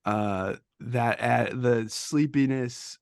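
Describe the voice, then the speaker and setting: A man's voice: medium pitch, drawn-out words, gravelly, no echo, clean studio sound. A man co-hosting a podcast in loose, casual, anecdotal chat. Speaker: podcast co-host.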